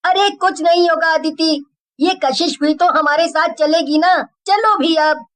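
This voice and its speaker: A woman's voice: extremely high pitch, steady tone, unhurried. A woman voicing a frightened little boy in a cartoon horror story, pleading in a whiny, repetitive way. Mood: coaxing.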